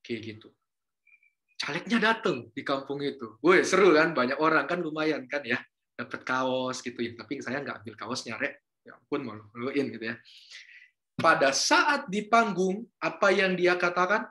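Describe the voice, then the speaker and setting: A man's voice: a little high, animated, moderate pace, bright, forceful tone. A man giving a casual university lecture, chatting with students, asking them questions and slipping in Javanese and English words. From North Sulawesi, Indonesia.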